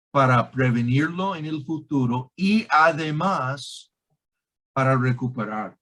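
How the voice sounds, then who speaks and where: A man with a foreign accent who is not a native Spanish speaker, explaining as a guest expert in a halting, emphatic way with grammatical slips. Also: on the high side, fairly bright tone, rough recording. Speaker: guest expert on online scams. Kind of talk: explanatory conversation.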